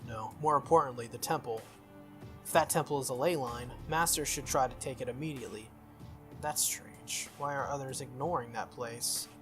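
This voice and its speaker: A man voicing a shrewd, cautious young female mage from a visual novel, brisk and explanatory. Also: mid-range voice, fairly bright tone, soft background music, lightly acted. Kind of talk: visual novel character read aloud.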